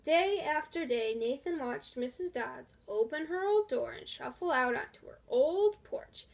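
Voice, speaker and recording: A woman reading a children's storybook aloud in a deliberate, expressive way. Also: sits high, creaky. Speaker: storybook reader. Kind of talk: scripted children's story narration.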